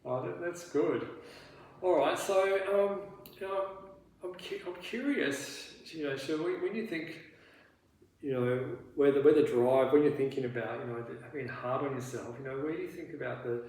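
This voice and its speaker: A man acting as a therapist in a counselling role play, asking questions gently and haltingly, full of restarts and "you know" fillers. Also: a little high. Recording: very echoey room, faint background noise.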